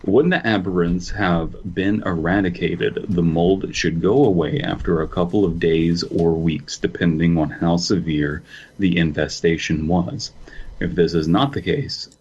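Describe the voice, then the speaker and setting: A man's voice: sits low, fairly even intonation, a little slow, a little mellow, husky, highs cut off. A man co-hosting a podcast, speaking dryly and matter-of-factly, reading text aloud. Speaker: podcast co-host.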